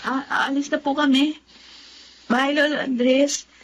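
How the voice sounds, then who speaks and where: A woman's voice: high-pitched, level tone, takes it slowly. A woman playing a concerned, respectful young visitor in a Filipino radio drama. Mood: caring. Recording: faint ambient noise behind.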